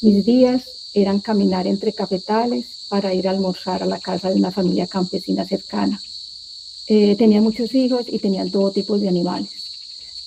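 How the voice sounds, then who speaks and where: A woman in her fifties with a Medellín Colombian accent, telling her life story as a prepared talk in measured, short phrases. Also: medium pitch, vocal fry, background noise. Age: 50s.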